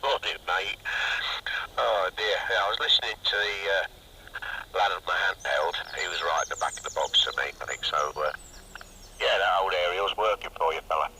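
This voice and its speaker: A man's voice: low voice, normal speed. A man chatting casually as a radio operator, heard over a two-way radio. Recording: slightly noisy CB radio reception.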